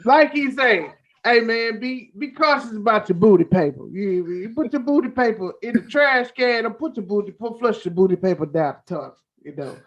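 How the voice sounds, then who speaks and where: A man's voice: sits high, expressive, moderate pace, fairly bright tone. A man co-hosting a casual chat show in an informal, joking manner. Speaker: talk show co-host. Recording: dull, lo-fi sound.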